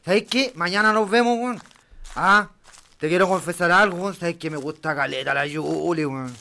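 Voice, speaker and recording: Actor as young, high-voiced slangy Chilean lad. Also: male voice, moderate pace, creaky, sharp tone, full character voice. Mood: tipsy, confiding. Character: drunk, slangy twenty-year-old construction worker from a shantytown. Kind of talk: amateur audio drama.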